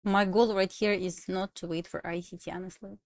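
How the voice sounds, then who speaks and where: A woman with a Russian accent, a native Russian speaker, chatting casually on a trading livestream in halting English with restarts. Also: on the low side, flat delivery, dry recording, studio quality. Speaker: livestream trader.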